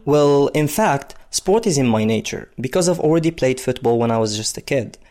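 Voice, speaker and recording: A man from the Democratic Republic of Congo, in his thirties, tells his own story. His voice sits in a middle register. He talks conversationally and often pauses on fillers. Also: medium pitch, expressive, loud music behind the voice. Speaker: interviewee, basketball player. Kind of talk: personal storytelling.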